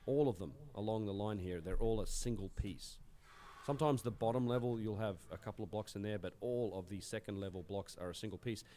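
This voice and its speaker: Hoarse man giving a ruins tour. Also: fairly low pitch, brisk, dark tone, a little hiss or hum. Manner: chatty, pointing things out. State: hoarse, losing his voice. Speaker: host on a site tour. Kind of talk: casual tour commentary. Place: outdoors at old stone ruins.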